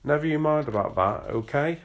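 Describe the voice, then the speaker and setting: A man's voice: on the high side, expressive, fairly quick, voice pushed up, lightly acted. A man voicing a blunt, pushy middle-aged father with a Northern English accent, hearty and domineering. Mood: overbearing.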